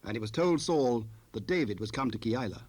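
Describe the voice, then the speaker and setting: A man narrating a dramatized scripture recording in a formal, measured storytelling manner. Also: medium pitch, lively intonation, a little mellow, natural voice.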